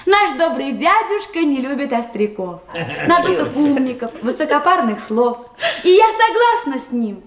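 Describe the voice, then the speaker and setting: An actress playing a spirited, coy young bride in a theatrical verse radio comedy, declaiming playfully and eager to please. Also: female voice, high-pitched, sing-song, measured pace. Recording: roomy archive radio play recording.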